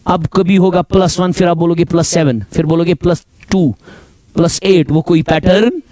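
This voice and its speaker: A man with a Kashmiri accent teaching reasoning in class, going over each point slowly and again, switching between Hindi and English and calling his students beta. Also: on the high side, fairly even intonation, dark tone, no room sound. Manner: slow, repetitive, mixes Hindi and English, addresses students as beta. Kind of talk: classroom lecture.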